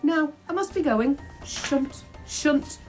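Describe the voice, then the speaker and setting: A woman's voice: high-pitched, lively intonation, slow, faint music behind, voice pushed up. A woman voicing a chatty old nanny for a children's storybook, nervous, cheeky and blunt. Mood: nervous, chirpy. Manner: chirpy, flustered, blunt.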